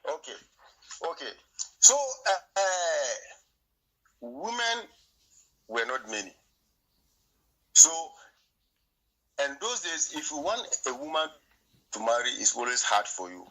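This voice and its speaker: A Ghanaian-accented man whose first tongue is Twi argues his point in a heated talk. His voice is bright and husky. He stumbles, circles back and repeats words as he pushes on. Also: a little high, big pitch swings, poor audio. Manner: repetitive, halting, argumentative. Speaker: guest. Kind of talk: heated debate.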